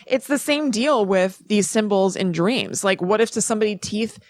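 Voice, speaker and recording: A woman's voice: medium pitch, expressive, moderate pace. A woman co-hosting a podcast, explaining things in a casual, informal, musing way with plenty of filler words. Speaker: podcast co-host presenting research.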